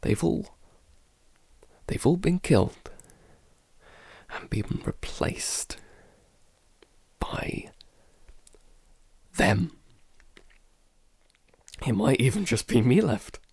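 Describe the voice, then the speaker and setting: A man playing a paranoid, isolated man in an audio drama, halting and stammering, often sighing and dropping into whispers. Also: mid-range voice, big pitch swings, vocal fry, darkish tone, breathy edge, no echo, full character voice. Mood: paranoid, despairing. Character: paranoid isolated man unravelling under a creeping unseen menace. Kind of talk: audio drama monologue, fragmented confessional.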